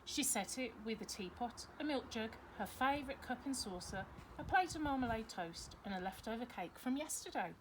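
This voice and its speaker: A woman's voice: a little high, animated, moderate pace, hardly any pauses, a little hiss or hum, poor audio. A woman reading a children's story aloud expressively, like a teacher to her pupils.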